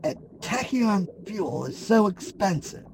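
A man playing a vain, grumbling wicked sorceress with an imperious, deliberate manner in a fantasy audio drama. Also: high-pitched, very animated, creaky, full character voice. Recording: noisy with ambient sound behind.

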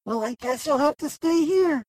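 Practical young quest leader, played by a woman, determined, high pitch, animated, fantasy audio drama. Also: moderate pace, dry recording.

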